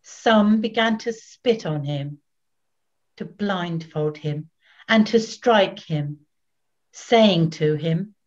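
Slow, solemn older woman reading. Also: fairly low pitch, dull audio. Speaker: narrator, scripture reader. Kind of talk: measured scripted scripture reading. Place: small plain room at home.

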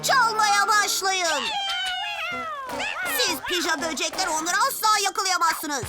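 A woman's voice: extremely high pitch, unhurried. A woman voicing a cocky, scheming young boy ninja villain in a dubbed cartoon, boasting as he talks. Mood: gloating. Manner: boastful, gloating. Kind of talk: cartoon dubbing. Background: loud music.

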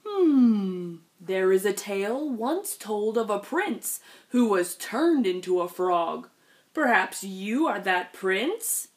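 A woman voicing a wise, calm old pond turtle from a picture book, measured and pondering. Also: medium pitch, pitched below the performer's own voice. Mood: thoughtful.

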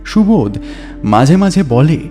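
A man narrating a story in a measured, atmospheric, scripted style with dramatic pauses. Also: on the high side, big pitch swings, mellow, soft background music.

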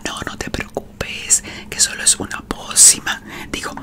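A middle-aged woman whispers right up at the microphone as a playful vampire doctor. Her delivery is very slow and soothing, with a theatrical touch, in the style of an ASMR medical exam. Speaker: ASMR performer. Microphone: close studio microphone on desk.

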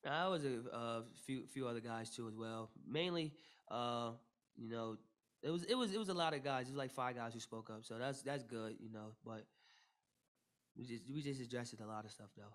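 A young man, a basketball player, answering questions at a postgame press conference into a table mic, with a steady delivery full of "you know" fillers and restarts. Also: medium pitch, moderate pace. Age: young adult. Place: press room with logo backdrop. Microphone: table mic in front.

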